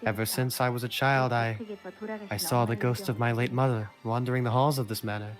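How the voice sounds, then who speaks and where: A man's voice: steady tone, fairly quick, close to an everyday voice. A man voicing a melancholy young noblewoman who solemnly tells her story in an amateur game dub. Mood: wistful. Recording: low-quality game capture under music. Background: game music.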